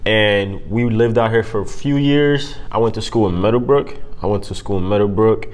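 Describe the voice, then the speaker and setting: Young man from Puerto Rico, low-pitched, relaxed, conversational guest, says "bro" a lot. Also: normal speed. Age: young adult. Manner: laid-back, says "man" and "bro" often. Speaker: guest, business owner. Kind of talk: casual interview chat.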